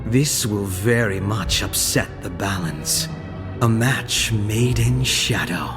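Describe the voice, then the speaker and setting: An actor voicing a cold, arrogant young male shadow assassin in a game, menacing and measured. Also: on the low side, music in the background. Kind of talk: game voice lines.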